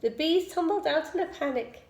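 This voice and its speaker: A woman reading a children's picture book aloud in an expressive storytelling style. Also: sits high, normal speed. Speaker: storybook reader. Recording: echoey room.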